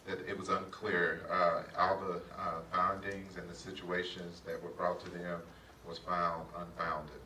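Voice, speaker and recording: A very low-voiced man gives formal testimony in a public meeting room, pausing often on fillers and answering with a polite "yes, sir." He is picked up by a distant shared table mic, so the sound is echoey and noisy. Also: level tone, bright, forceful tone. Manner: hesitant, many filler pauses, says "yes, sir". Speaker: school district official answering questions. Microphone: shared table mic, often off-axis.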